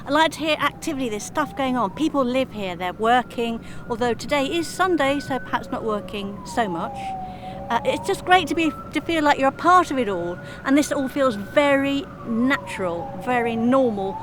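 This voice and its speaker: Chatty higher-voiced woman vlogging amid clear noise. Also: expressive, moderate pace. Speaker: sailing vlog host. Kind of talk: casual vlog commentary to camera. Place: outdoors on a sailboat. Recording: noisy outdoor boat recording, engine rumble.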